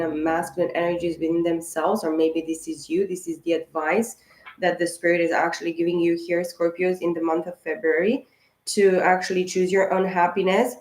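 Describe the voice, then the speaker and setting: Low-voiced woman reading tarot in an echoey room. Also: darkish tone. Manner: rambling, with many fillers and restarts. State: slightly hoarse, clearing her throat. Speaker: tarot reader. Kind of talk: casual spiritual tarot reading to camera.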